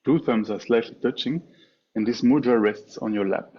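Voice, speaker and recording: A man teaching a guided meditation in a measured way, pausing often between short phrases. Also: medium pitch, warm tone. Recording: dull, muffled online call with clear background noise.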